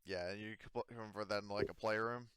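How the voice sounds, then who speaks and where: A man narrating a tabletop game as game master in a halting, informal way. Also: lowish voice, fairly even intonation, a bit bright, clear, resonant tone.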